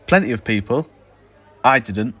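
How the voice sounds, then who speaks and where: A man's voice: medium pitch, animated, unhurried, fairly bright tone, breathy edge, some chatter behind. Actor as cocksure, argumentative young man in an audio drama, slightly muffled home recording. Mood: smug, insistent. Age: young adult. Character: opinionated man, smugly sure he is right. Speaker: student actor.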